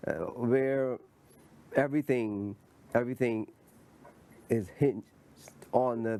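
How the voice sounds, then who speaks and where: A man hosting an interview, asking questions deliberately and repeating his phrases for emphasis. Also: mid-range voice, mellow. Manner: deliberate, repeats phrases, many affirming murmurs. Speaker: interviewer, host.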